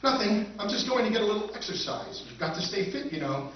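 A man playing a quick-witted stranded soldier who schemes with his partner, in a live stage recreation of an old-time radio drama. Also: high voice, a little hiss or hum. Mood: scheming. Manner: wry, scheming, lively. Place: hotel function room stage with audience. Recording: echoey hall from far off, dull.

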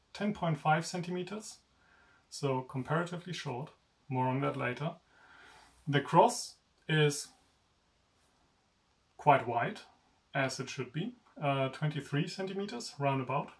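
Gravelly German-accented young man. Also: on the high side, recorded from a distance. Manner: relaxed, self-deprecating, chatty with many asides. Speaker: sword reviewer. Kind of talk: casual spoken review. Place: indoor room with swords on wall rack. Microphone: camera at chest-up framing.

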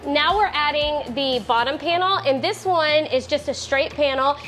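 A woman in her thirties hosting a casual woodworking tutorial in her home garage, chatty and quick to correct herself. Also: high voice. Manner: chatty, self-correcting, laughs often. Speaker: DIY woodworking host.

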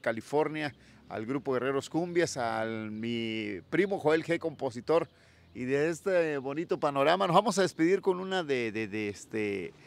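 A Mexican man hosting a casual street interview in a town plaza, friendly and sending shout-outs to viewers, holding a handheld mic. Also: medium pitch. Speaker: interviewer, channel host. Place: town plaza outdoors at dawn.

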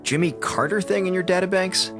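An actor playing a sarcastic, slacking communications officer on a space station, wisecracking and mock-polite, in a radio drama. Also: male voice, high-pitched, a little fast, music in the background. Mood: mock-sincere, sarcastic.

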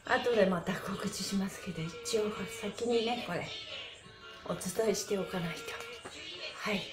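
A woman's voice: medium pitch, measured pace, reverberant, music in the background. A middle-aged woman leading a livestreamed stretching lesson in a cheerful, exclamatory, encouraging way, picked up by a camera mic in a small room. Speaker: stretching instructor and pantomime performer. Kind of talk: livestreamed exercise lesson with chanted counting and chatty asides. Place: small bare room indoors. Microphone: camera mic a few steps away.

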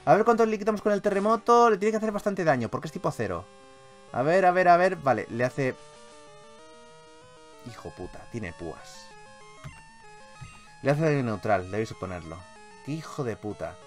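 Male gaming YouTuber, Castilian accent, highly animated, slightly high voice, free with swearing, music underneath. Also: normal speed, long pauses. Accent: Castilian Spanish from Spain. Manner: animated, expressive, swears freely. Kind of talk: excitable let's play commentary.

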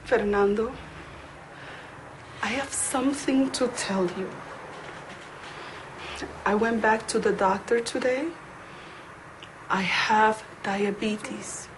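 An actress playing an older woman from the Dominican Republic, a cafe owner upset about her diagnosis, speaking slowly and emotionally. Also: mid-range voice, background noise, natural voice. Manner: slow, emotional, despairing. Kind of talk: scripted educational drama.

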